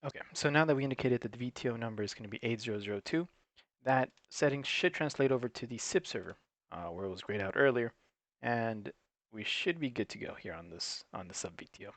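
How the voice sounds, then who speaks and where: A man's voice: mid-range voice, expressive, fast talker, no echo. A man giving an unscripted, matter-of-fact, step-by-step tutorial in an instructional way. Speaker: technical support presenter.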